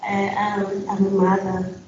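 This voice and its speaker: A woman's voice: medium pitch, completely flat delivery, slow pace, dull audio. A South African woman community activist introducing herself briefly and plainly on a panel. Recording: roomy, distant, noisy hall.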